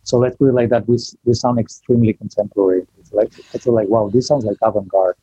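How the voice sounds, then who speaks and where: Guy from spain, english, low mellow voice, a bit husky, dull dry call audio. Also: steady tone, dry recording. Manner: chatty, self-deprecating, halting restarts. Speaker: guest. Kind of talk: casual online interview.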